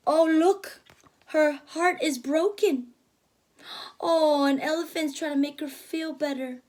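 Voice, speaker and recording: A woman reads a picture book aloud to a young child, gasping and asking the child questions as she goes. Her voice sits high, and she speaks very slowly and deliberately. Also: darkish tone. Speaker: teacher reading aloud.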